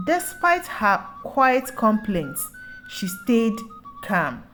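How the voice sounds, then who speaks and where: A woman's voice: medium pitch, low-quality recording. A woman narrating a scripted folktale as a storyteller.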